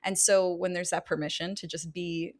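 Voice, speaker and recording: A woman with a deep voice hosting a podcast, speaking in a measured, thoughtful and frank way. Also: little pitch movement. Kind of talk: candid podcast conversation.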